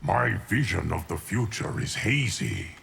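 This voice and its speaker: An actor playing an ageless, solemn guardian of time in a video game, speaking in a deep, gravelly, measured and formal voice. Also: male voice, very animated, fairly bright tone, full character voice. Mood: grave, calm. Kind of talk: video game character dialogue.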